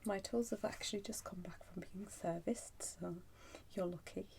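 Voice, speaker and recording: A woman whispering softly and breathily in an ASMR roleplay, pausing often as she addresses the listener as a customer. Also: medium pitch, gravelly, a little hiss or hum. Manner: slow, pausing, unhurried. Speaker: ASMR performer playing a soup shop keeper.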